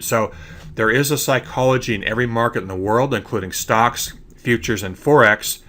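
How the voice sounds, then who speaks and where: A man's voice: fairly low pitch, sharp tone. A man with a congested voice from allergies, explaining trading ideas over slides and often checking in with "okay?". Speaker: trading educator. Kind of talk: screen-recorded slide lecture.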